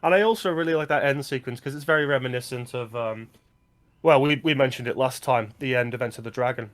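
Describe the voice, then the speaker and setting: A man's voice: mid-range voice, fast talker. A man co-hosting a film podcast, talking casually and with enthusiasm about movies he likes. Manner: enthusiastic, recommending.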